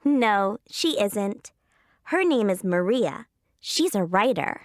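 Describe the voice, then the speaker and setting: A woman acting a thirteen-year-old girl tennis player in a scripted textbook dialogue, giving short, slow, clearly spaced answers. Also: mid-range voice, animated, no room sound, studio quality. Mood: plain. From Canada.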